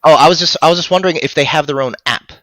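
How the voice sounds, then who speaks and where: A man's voice: a little high, very fast, fairly bright tone, no echo. A man in his thirties chatting casually as a guest on a live stream, speaking into a headset. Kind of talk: casual chat. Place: room at home, video call.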